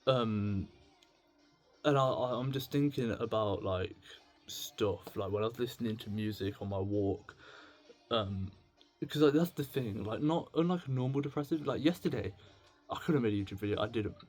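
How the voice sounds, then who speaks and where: A young British man talking casually to camera in a confessional vlog, rambling, halting and self-deprecating. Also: lowish voice, darkish tone, faint music behind. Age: young adult. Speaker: video blogger. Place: indoors at home with music on in the background.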